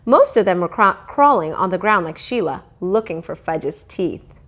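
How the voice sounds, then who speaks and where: A woman's voice: lowish voice, animated, moderate pace, fairly bright tone. A woman teacher reading a children's story aloud, expressively and speaking directly to young listeners. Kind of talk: storybook read-aloud narration.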